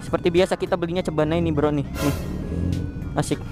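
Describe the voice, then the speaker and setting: A young man with a high voice talks in quick, slangy bursts, full of exclamations. He speaks into a helmet intercom while riding through the city at night, with faint music underneath. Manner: excitable, slangy, exclaims often. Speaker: motovlogger. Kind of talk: casual riding vlog chat. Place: on a motorbike on city streets at night.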